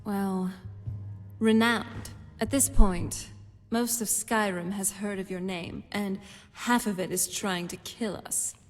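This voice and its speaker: An actress playing a young, wry, world-weary vampire companion in a fantasy game, speaking in an unhurried way. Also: female voice, mid-range voice, steady tone, lightly acted. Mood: weary, wistful. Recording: long hall reverb, music behind.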